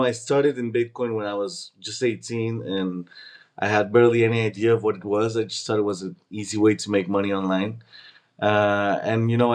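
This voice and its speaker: Low-voiced man in his twenties from Canada. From Canada, born in Peru. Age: 20s. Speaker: startup founder, interview guest. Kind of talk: casual interview conversation.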